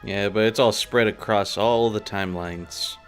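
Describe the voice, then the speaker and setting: A man's voice: fairly low pitch, steady tone, moderate pace, soft background music. A man of twenty-seven co-hosting a let's play with casual banter and sweary, joking asides. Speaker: gameplay video co-host.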